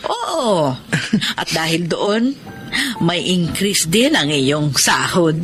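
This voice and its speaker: Drawn-out, animated restaurant owner mother. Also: fairly low pitch, exaggerated voice. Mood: pleased, praising. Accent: Filipino. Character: approving restaurant owner, doting middle-aged mother. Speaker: voice actress. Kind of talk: Filipino radio drama acting. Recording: loud music behind the voice.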